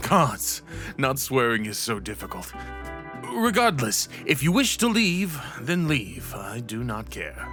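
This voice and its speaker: A man's voice: mid-range voice, bright, forceful tone. Man playing a pompous, theatrical fantasy professor. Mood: exasperated, dry. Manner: theatrical, haughty lecturing with throat clearing. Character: pompous, stern, wry male professor of monster seduction. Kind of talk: ASMR roleplay acting.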